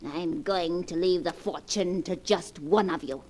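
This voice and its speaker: A woman's voice: on the low side, a little slow, darkish tone. A woman playing a rich old grandmother in a 1940s radio drama, dry, withering and imperious, on an old recording. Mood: amused, commanding.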